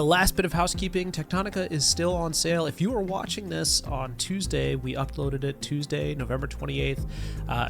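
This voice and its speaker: A man's voice: fairly high pitch, vocal fry. A man in his thirties with a stuffy nose from a cold, giving a chatty, loose game update from a home studio on a desk mic. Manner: chatty, loose, lots of fillers. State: nasal from a cold. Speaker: game developer community manager. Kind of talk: casual update video. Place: home studio with neon lights. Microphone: desk podcast mic. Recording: music bed under the voice.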